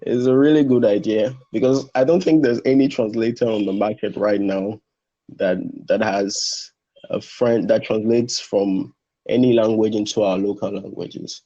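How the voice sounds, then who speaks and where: A man's voice: steady tone, normal speed, mellow. A young man, a French speaker, answering interview questions in a hesitant way with many restarts. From Benin. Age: young adult. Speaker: translation student guest. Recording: muffled low-fidelity audio.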